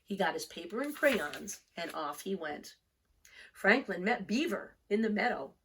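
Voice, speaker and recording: A woman reading a picture book aloud for young children, expressive and deliberate, with storytelling emphasis. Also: medium pitch, a little hiss or hum. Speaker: storybook reader.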